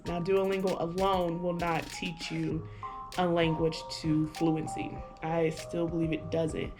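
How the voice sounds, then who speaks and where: Woman, low-pitched, casual vlog review, hesitant chatty delivery, clear music behind. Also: flat delivery, a little slow. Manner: chatty, hesitant, many fillers and restarts. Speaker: language-learning vlogger. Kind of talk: casual review talk to camera.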